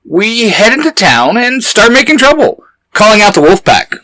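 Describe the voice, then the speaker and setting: An actor playing a boisterous, cheerful young pirate captain in an audio drama, loud and brash. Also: medium pitch, very animated, moderate pace, dry recording. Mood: brash, excited.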